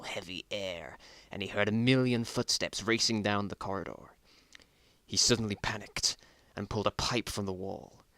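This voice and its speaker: A man's voice: on the low side, a bit bright, dry recording. A man reading a story aloud in a mock-dramatic style, amused and breaking off into mocking asides. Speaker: reader of own old story.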